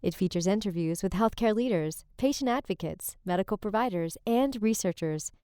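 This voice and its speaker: A woman's voice: medium pitch, lively intonation, normal speed, warm tone, clean tone, dry recording, studio quality. A woman reading a scripted promotional announcement as a podcast announcer.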